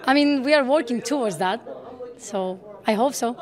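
A woman student from Greece, answering interview questions in an earnest, steady way. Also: fairly high pitch, normal speed, heavy background noise.

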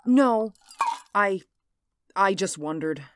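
A woman's voice: medium pitch, animated, slow, no echo, studio quality. An actress playing an anxious housewife and mother in a radio drama. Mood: uneasy. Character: anxious housewife and mother hiding her unease.

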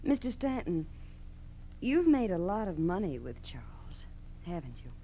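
An actress playing an ambitious, coolly menacing wife of a car thief in a 1940s American radio drama, assertive and pointed. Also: female voice, on the low side, very animated, a little slow, muffled recording. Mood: coolly threatening. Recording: noisy, humming old radio recording.

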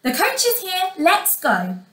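Snooty storybook stepmother, acted by a woman: theatrical, gushing, big swoops in pitch. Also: huge pitch swings, takes it slowly, a bit bright, roomy sound, heavily acted. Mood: proud, gushing. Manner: haughty, effusive, theatrical. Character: snooty, spiteful stepmother, gushing over her daughters. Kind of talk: children's storybook character reading.

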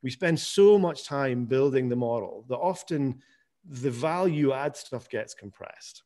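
A man's voice: mid-range voice, lively intonation, measured pace, mellow. A man giving an informal webinar presentation over a video call, with frequent restarts and repeated words. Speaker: guest presenter, software founder.

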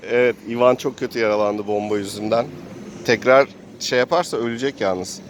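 A man's voice: medium pitch, steady tone, fairly quick, a little hiss or hum. A man improvising narration for a tabletop role-playing game, telling the action as if he were living it. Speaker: game master and player.

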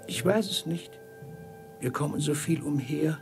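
An actor playing a fatalistic, knowing beggar sage in a 1950s radio drama, with creaky voice and measured, cryptic delivery. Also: male voice, a little high, over music.

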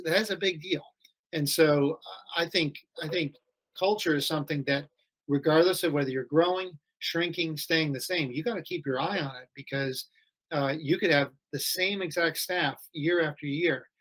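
A middle-aged school head answers as a podcast guest over a video call. His voice sits a little high, and he speaks thoughtfully, often restarting his phrases. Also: moderate pace, fairly bright tone, poor audio. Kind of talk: casual interview chat. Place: home or office, video call.

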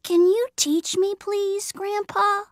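A woman voicing an eager young boy in an animated children's story. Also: extremely high pitch, takes it slowly, no room sound, clean studio sound.

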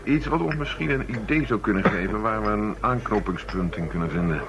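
A man's voice: on the low side, fairly quick, noisy background, close to an everyday voice. An actor playing a thoughtful, matter-of-fact male police chief inspector in a radio drama. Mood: businesslike. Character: male police chief inspector methodically reviewing murder cases. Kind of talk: radio drama acting, naturalistic dialogue. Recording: dull lo-fi radio recording, crowd murmur.

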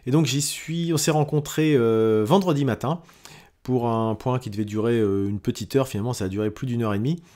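Man, halting casual monologue, lots of fillers, mid-pitched voice. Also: a little mellow. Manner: halting, many fillers and restarts. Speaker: podcast host. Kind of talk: weekly audio diary monologue.

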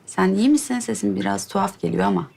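Gentle, creaky-voiced mother. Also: medium pitch, fast, a little hiss or hum. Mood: polite, hesitant. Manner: coaxing, courteous. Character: gentle, polite, patient young mother. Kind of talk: naturalistic TV drama acting.

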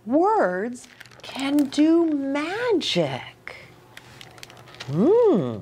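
A middle-aged American woman reading a story aloud to children, expressive and pausing to ask them questions. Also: medium pitch, a little hiss or hum. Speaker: children's story time host and pastor. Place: small indoor reading nook with bookshelf and curtains.

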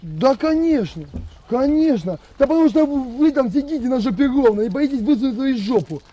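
A man's voice: very high-pitched, expressive, brisk. A man in his thirties from Donbas, a militia commander angrily threatening someone over a phone call outdoors on a road. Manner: angry, shouting threats and insults. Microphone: camera a few meters away, on a phone.

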